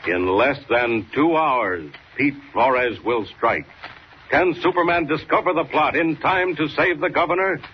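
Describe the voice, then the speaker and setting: A man's voice: fairly high pitch, unhurried. Dramatic male radio announcer, muffled vintage sound. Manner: declamatory, suspenseful. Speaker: announcer and narrator. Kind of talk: dramatic scripted 1940s radio narration. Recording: muffled, noisy 1940s radio recording.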